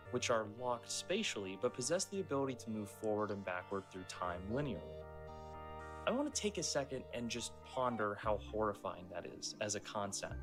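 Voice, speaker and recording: A man narrating a scripted video essay with deliberate, explanatory storytelling. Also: on the low side. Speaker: narrator explaining a horror series. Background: music.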